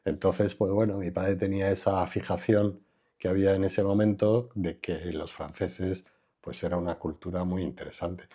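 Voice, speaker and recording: Low, husky-voiced older man. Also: steady tone, mellow. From Madrid, Spain. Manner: reflective, unhurried, trails off with fillers. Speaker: architect, interview guest. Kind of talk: relaxed conversational interview.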